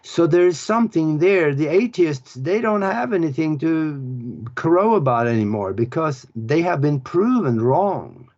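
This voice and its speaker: A man speaks in a fairly high register at a very slow, unhurried pace. He explains spiritual ideas earnestly and often pauses to search for words. The recording sounds dull and lo-fi. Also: expressive, a little mellow. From Norway. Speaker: author and spiritual teacher.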